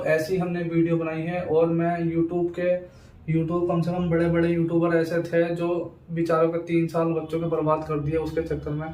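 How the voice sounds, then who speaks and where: A man's voice: a little high, darkish tone. A young man talking informally to students as a YouTuber, insistent and repeating himself with frequent verbal tags. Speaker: education YouTuber. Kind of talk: informal talk to camera for students. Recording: roomy echo, distant mic, faint noise.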